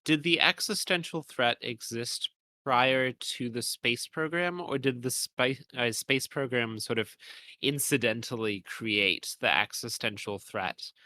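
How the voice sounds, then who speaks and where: A man's voice: medium pitch, measured pace, pushed and bright. A man co-hosting a podcast, discussing a video game with analytical, carefully built phrasing. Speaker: podcast co-host.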